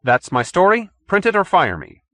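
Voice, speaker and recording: A man's voice: high-pitched, wildly swooping pitch, normal speed, pushed and bright, dry recording, voice pushed up, full character voice. A man voicing a brash, cynical newspaperman who talks in slangy, indignant wisecracks in an audiobook story. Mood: defiant.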